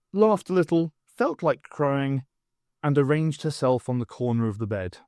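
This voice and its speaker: A man's voice: a little high, darkish tone, dry recording, clean studio sound. A man reading scripted fiction aloud as an audiobook narrator.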